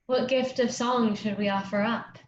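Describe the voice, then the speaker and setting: A woman's voice: on the high side, fairly even intonation, normal speed, lightly acted. A woman playing a bold, playful, loyal younger sister in a staged play reading. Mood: playful, curious. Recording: muffled, roomy, some background noise.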